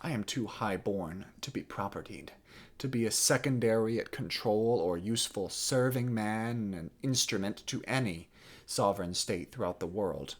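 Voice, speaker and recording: A man's voice: lowish voice, normal speed, close to an everyday voice. A man playing a proud, defiant young French prince, declaiming imperiously and indignantly in a classical Shakespearean stage monologue. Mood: proud, resolute.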